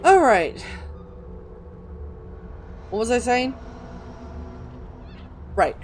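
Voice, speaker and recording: A woman's voice: on the high side, sing-song, extremely slow, faint music behind. A woman hosting a gaming video, reading in-game texts aloud and commenting casually as she plays.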